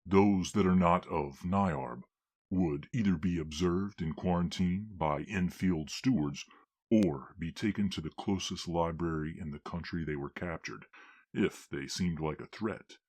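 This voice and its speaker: Guy playing a weary stern boss in a fantasy audio rp, really deep voice, formal scolding way of talking. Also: darkish tone. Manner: formal, measured, admonishing. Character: stern, weary senior male authority in fantasy realm. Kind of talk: fantasy audio drama acting.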